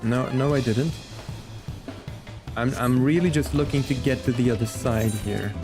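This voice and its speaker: A man's voice: medium pitch, unhurried, music in the background. A man chatting casually and playfully with viewers as he plays and comments on a game on a live stream.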